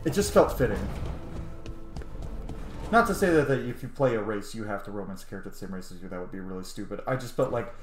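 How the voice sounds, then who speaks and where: A man's voice: fairly low pitch, lively intonation, fast talker. A man giving casual, emotionally invested commentary as he plays a video game. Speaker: gaming streamer. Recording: roomy, game music behind.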